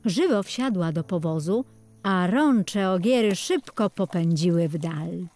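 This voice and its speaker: Slow-paced woman narrating a fairy tale. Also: lowish voice. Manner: measured, expressive storybook reading. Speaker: storyteller. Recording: music under the voice. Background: music.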